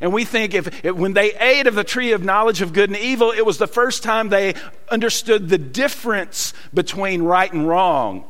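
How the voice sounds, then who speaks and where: A man with a Southern US accent preaching a sermon, telling folksy stories with many pauses and fillers. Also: sits high, a bit bright, long reverb tail. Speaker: pastor. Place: church hall.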